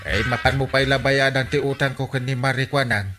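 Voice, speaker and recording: A man's voice: medium pitch, steady tone, brisk, over loud music, close to an everyday voice. A man acting a flustered, blustering village captain with a Filipino accent in a comedy radio drama. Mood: evasive. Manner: blustering, exclamatory, swooping interjections. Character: male village captain Inteng, excitable, flustered debtor.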